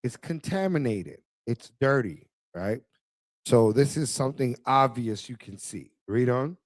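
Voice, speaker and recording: A man's voice: mid-range voice, lively intonation, a little slow, dry recording. A man leading a casual Bible study who directs the reading and explains each verse step by step. His voice is recorded dry and close, with no room sound. He keeps checking in with "right?".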